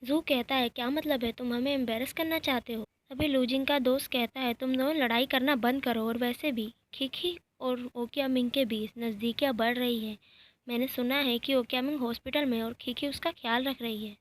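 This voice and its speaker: A woman with a slightly high voice tells the story of a romance drama episode scene by scene. She voices each character's lines in her own steady voice, without putting on different voices. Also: moderate pace. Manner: reads every character's lines in her own voice, even and plain. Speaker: narrator recapping a drama episode. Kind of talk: storytelling recap narration.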